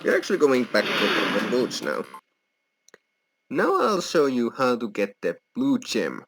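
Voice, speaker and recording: A man's voice: mid-range voice, huge pitch swings, a little slow. A man giving casual walkthrough commentary as host of a gaming video. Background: loud game music.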